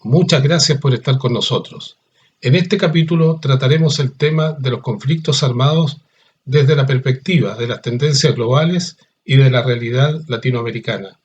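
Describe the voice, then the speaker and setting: A man hosting an interview, giving a formal, measured introduction and then asking questions. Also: medium pitch, breathy edge. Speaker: interview host. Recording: dull lo-fi sound.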